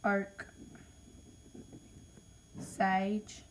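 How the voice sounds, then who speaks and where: Woman, Australian accent, slow, hesitant, apologetic, casual voice message, faint noise. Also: medium pitch, completely flat delivery. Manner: hesitant, many ums, apologetic. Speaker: podcaster listing name ideas.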